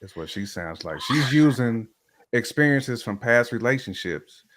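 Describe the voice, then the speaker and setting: A man's voice: medium pitch, lively intonation, measured pace, a bit bright. A man giving casual, opinionated commentary in conversation, stressing his points by repeating words.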